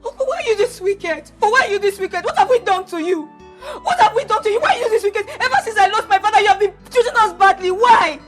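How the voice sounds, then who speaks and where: A woman's voice: extremely high pitch, animated, quick pace, faint music behind. A woman playing a desperate, tearful niece. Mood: anguished shouting. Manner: tearful, pleading, rising to shouting. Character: desperate young woman Agatha pleading with her uncle. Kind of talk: Nollywood-style drama acting.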